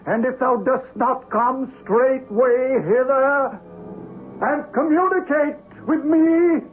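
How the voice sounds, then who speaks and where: A man's voice: extremely high pitch, takes it slowly. A man playing a grave doctor of the occult in a radio horror drama, speaking theatrically and solemnly. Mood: commanding, incantatory. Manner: grave, theatrical, archaic incantations. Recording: noisy, tinny old radio broadcast.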